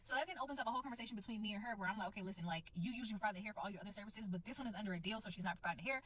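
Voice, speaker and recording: Very fast-talking woman telling a story. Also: medium pitch, a bit bright, dry recording. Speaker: vlogger telling a personal story. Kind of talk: casual storytime to camera.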